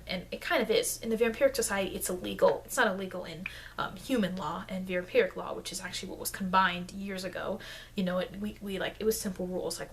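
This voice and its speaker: Casting: woman as chatty female vampire lecturer for ASMR roleplay, medium pitch, halting and reassuring, slightly noisy home microphone. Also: lightly acted. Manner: halting, rambling, chatty explanations full of asides. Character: friendly rambling female vampire lecturer, eager to reassure humans. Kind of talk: improvised ASMR roleplay monologue. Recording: noisy, low-grade microphone.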